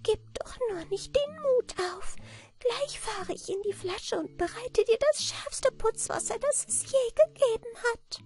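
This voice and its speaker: A woman voicing a tiny old little man from a fairy tale, with a thin, squeaky, plaintive voice. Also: animated, measured pace, darkish tone, exaggerated voice. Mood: eager.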